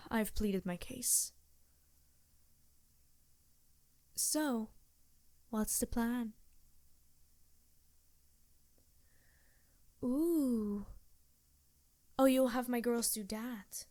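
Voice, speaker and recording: Woman as scheming succubus ally for intimate ASMR roleplay: soft-spoken, seductive, unhurried, very close and dry sound. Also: on the high side, dry recording. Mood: wary, negotiating. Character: scheming female succubus demon, seductive, reluctant but loyal ally.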